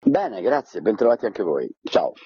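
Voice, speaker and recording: A man's voice: on the low side, very animated, moderate pace, slightly rough. A man being interviewed as a theatre director, talking reflectively and passionately, with digressions and tag questions. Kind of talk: conversational interview, reflective storytelling. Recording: dull, lo-fi, dry.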